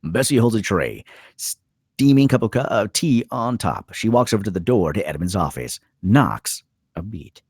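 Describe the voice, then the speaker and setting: A man's voice: on the low side, sing-song, moderate pace, a little mellow, husky, no room sound, studio quality. A man reading stage directions as the narrator of a screenplay table read.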